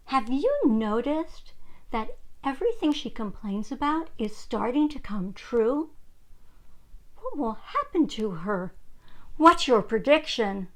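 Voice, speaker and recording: High-voiced, expressive woman storyteller. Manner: addresses young listeners with questions. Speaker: storyteller, host of children's read-aloud show. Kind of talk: expressive children's story narration.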